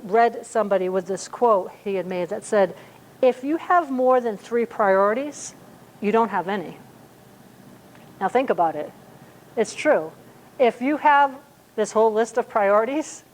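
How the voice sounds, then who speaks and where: A woman in her fifties preaches in a loose, conversational way, often stopping to restart a thought. Her voice sits a little high. A faint hiss runs under the recording. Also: poor audio. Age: 50s. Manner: conversational, many fillers and restarts. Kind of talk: sermon at a church service.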